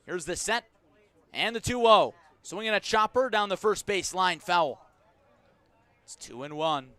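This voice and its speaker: A man calling a baseball game live on radio as play-by-play announcer, measured between pitches and emphatic when the action comes. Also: bright, forceful tone. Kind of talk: live sports radio commentary.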